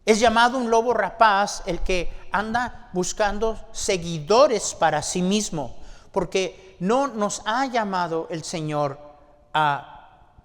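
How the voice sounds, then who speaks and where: A man preaching a sermon to his congregation, deliberate and asking them rhetorical questions. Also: sits high. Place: church sanctuary. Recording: long church-hall reverb.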